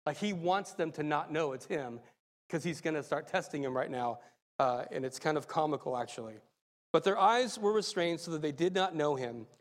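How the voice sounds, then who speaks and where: A man preaching a sermon, a little raspy and hoarse from a cold, excitable and laughing as he talks. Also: on the high side, normal speed, in a large hall. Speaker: lay preacher.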